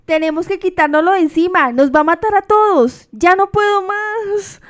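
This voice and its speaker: A woman's voice: very high-pitched, moderate pace. A woman acting as a desperate, resolute young sister in an amateur radio drama, speaking emphatically and pleadingly. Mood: desperate, insistent.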